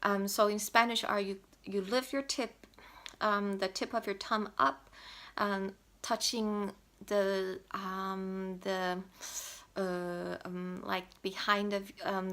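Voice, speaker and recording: A young woman from Taiwan, whose first language is Mandarin, talks through a language tip in English. Her voice is bright and crisp, and her pace is slow and unhurried. She hesitates often, filling pauses with "um" and restarting her sentences. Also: mid-range voice, little pitch movement. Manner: hesitant, many "um" fillers and restarts. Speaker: language learner vlogger. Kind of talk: explaining a pronunciation tip to camera.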